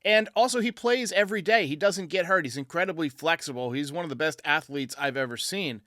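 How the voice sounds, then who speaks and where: A man's voice: on the high side, fairly quick, sharp tone, no room sound, clean studio sound. A man hosting a baseball fan show, giving his commentary in answer to callers. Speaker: sports show host.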